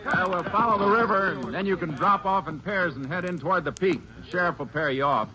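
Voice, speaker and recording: An actor playing a steady, commanding Texas Ranger in a 1950s radio western, speaking tersely and with matter-of-fact authority. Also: male voice, sits high, a little fast. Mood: brisk, commanding. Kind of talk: 1950s radio western acting, also first-person narration. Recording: muffled, noisy old radio broadcast recording.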